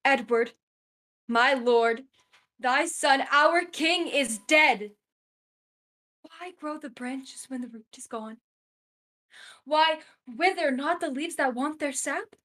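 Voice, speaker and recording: A woman's voice: high-pitched, slow pace, long pauses, pushed and bright, heavily acted. A woman playing a proud, anguished widowed queen in a Shakespearean radio play, lamenting in declamatory verse.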